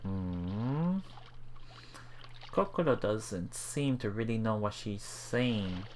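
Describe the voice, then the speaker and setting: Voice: mid-range voice, lively intonation, slow pace, a little mellow, a little hiss or hum. A let's play host reading game text aloud with casual commentary, often stumbling and repeating words.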